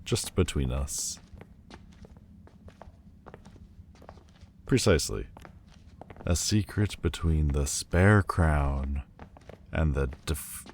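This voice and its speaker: A man playing a proud, wounded demon lord turned mortal in a dramatic audio roleplay, speaking haughtily and theatrically with hesitant pauses. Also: extremely low pitch, darkish tone, slight background noise, heavily acted. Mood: reluctant, soft. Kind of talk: dramatic ASMR roleplay monologue.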